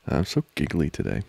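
A man playing an amorous, giggly student boyfriend in an intimate ASMR roleplay, talking haltingly and teasingly close to the mic. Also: close to an everyday voice. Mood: flustered, amused. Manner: halting, soft-spoken, chuckling, teasing. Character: amorous, giggly young male student boyfriend trying to study. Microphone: close microphone, dry.

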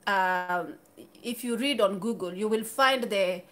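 A woman's voice: medium pitch, a little slow, fairly bright tone. A woman in her thirties talking candidly to camera in a small room, chatty, with restarts and asides. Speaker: YouTuber. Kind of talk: casual talk to camera.

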